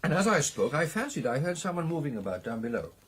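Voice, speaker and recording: An actor playing an excitable British club gentleman, telling his tale in a theatrical, hesitating and emphatic radio drama style with a husky voice. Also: a little high, fast talker, a little hiss or hum. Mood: earnest, reflective. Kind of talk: dramatic storytelling in a radio play.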